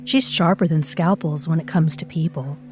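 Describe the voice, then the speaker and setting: A woman's voice: low-pitched, moderate pace, darkish tone, faint music behind, close to an everyday voice. A woman narrating an audio drama as a mysterious presence living in a girl's mind, musing darkly and speaking straight to the listener. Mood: brooding. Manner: musing, ominous, addresses the listener directly.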